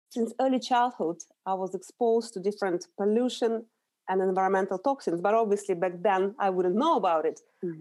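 Mellow-voiced Russian woman explaining. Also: mid-range voice. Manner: earnest, explanatory, with small restarts. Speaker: guest, nutritional therapist. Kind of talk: conversational interview answers.

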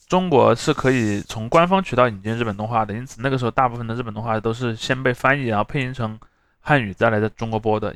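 A man speaks quickly in a dry recording. He hosts conversationally, unfolding explanations in long discursive runs and checking in for agreement as he goes. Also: mid-range voice, fairly bright tone, no echo. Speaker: podcast host.

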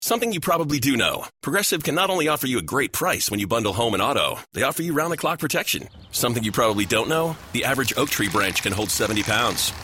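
A man's voice: mid-range voice, big pitch swings, fast talker, hardly any pauses, bright, forceful tone, breathy edge. A man reading a scripted commercial as its announcer. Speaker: advert announcer.

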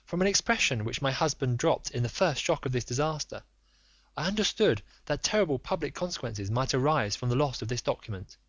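A man's voice: medium pitch, expressive, brisk, fairly bright tone, no echo, pitched above the performer's own voice. A man voicing an anxious noble Victorian lady who pleads in formal, earnest speech. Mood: composed. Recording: dull lo-fi dry recording.

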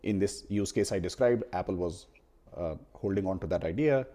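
A man with a low voice talks over tech in a relaxed chat. His tone is mellow and dark, and he builds his point with many restarts. His first language is Hindi. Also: normal speed. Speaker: co-host. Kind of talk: casual tech discussion.

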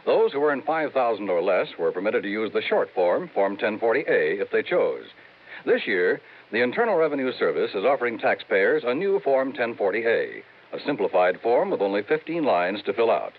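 A man's voice: fairly low pitch, big pitch swings, moderate pace, a little hiss or hum. A man reading scripted announcements as a 1950s network radio announcer. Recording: tinny 1950s radio recording.

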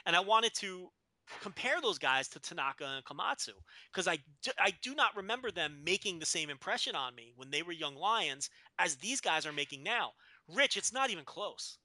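A man in his thirties with a notably high, very bright and forceful voice, talking in a rambling, profane and enthusiastic way. The sound is dry and close to the mic, with no room echo. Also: sing-song, moderate pace. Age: 30s. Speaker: podcast co-host. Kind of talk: casual wrestling podcast chat.

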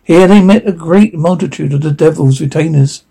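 Husky man reading a fairy tale, halting. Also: fairly high pitch, dark tone. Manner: halting, stumbles over words and corrects himself. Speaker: story reader.

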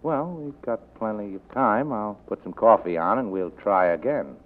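An actor playing a sardonic, terse private detective who wisecracks, in a 1940s radio drama. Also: male voice, fairly low pitch, normal speed, natural voice. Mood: cool, skeptical. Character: hard-boiled, sardonic, unflappable male private detective. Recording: tinny vintage 1940s radio recording.

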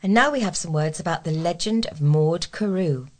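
A woman's voice: on the low side, normal speed. A woman with a British accent giving a scripted reading of local articles as a volunteer reader.

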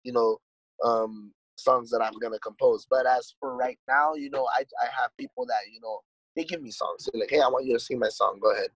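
A man's voice: medium pitch, a little fast, a bit bright, slightly rough, no room sound, rough recording. A man and musician guest in a casual conversation, talking in a laid-back, rambling way with lots of swearing and "dude". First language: English.

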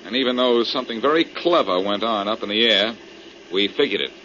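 A man's voice: lowish voice, brisk, pushed and bright. A man playing a cool, clever amateur detective in an old radio mystery, wry and self-assured. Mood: explaining. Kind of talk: 1940s radio crime drama acting. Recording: muffled, noisy old-time radio recording.

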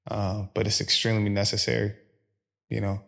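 A man from Philadelphia answering interview questions in a low, gravelly voice. He talks in a level, even tone, calm and measured, pausing often as he gathers his thoughts. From Philadelphia, USA. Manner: calm, measured, with frequent pauses and fillers. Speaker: podcast guest. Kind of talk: reflective interview conversation.

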